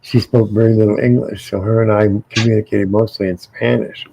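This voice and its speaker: A man's voice: on the low side, steady tone, a little fast, creaky, mellow, breathy edge, rough recording. A middle-aged American man, co-hosting a casual panel chat, rambling and repeating his words. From United States. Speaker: co-host.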